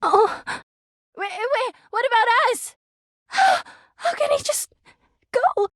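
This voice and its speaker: A woman voicing a clingy, melodramatic young female laundry-hamper character in a video game, shrill and pleading. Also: expressive, takes it slowly, no echo, clean studio sound, full character voice. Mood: distraught, pleading.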